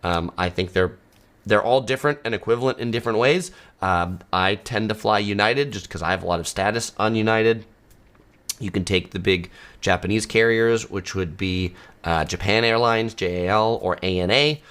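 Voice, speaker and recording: A man hosting a travel channel, casually answering viewer questions in a relaxed, conversational way with frequent ums. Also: fairly low pitch, moderate pace, smooth. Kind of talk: casual live Q&A. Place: home studio.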